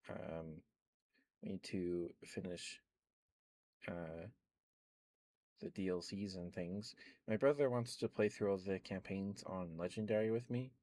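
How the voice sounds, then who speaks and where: A young man, an ASMR streamer, rambling softly in casual chat with frequent chuckles. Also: low voice, no room sound. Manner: soft-spoken, rambling, chuckles often.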